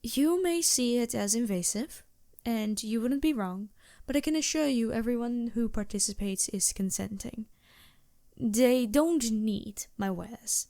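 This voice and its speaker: A woman's voice: fairly high pitch, no echo, studio quality. A woman playing a polite, reassuring vampire merchant in a fantasy ASMR roleplay, speaking formally with small hesitant asides. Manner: genteel, formal phrasing with hesitant asides and soft chuckles.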